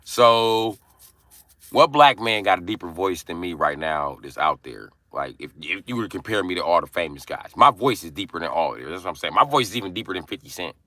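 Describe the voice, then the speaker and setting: A young adult man ranting angrily and forcefully on a livestream from inside his car. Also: sits low, lively intonation, brisk, no room sound. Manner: angry, forceful, profane ranting. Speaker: livestream host. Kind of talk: angry profane livestream rant.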